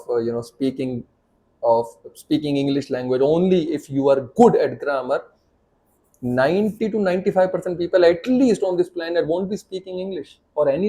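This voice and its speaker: A man's voice: a little high, mellow. A man in his thirties with an Indian accent, a native Hindi speaker, giving an emphatic spoken English lesson to camera, repeating and restarting phrases as he pushes his point.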